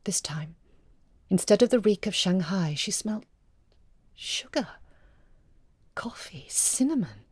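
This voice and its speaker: Breathy woman reading a story, dry close sound. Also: medium pitch, lively intonation, takes it slowly, pauses often, a little mellow, no echo. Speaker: story reader. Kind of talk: expressive scripted narration of a literary short story.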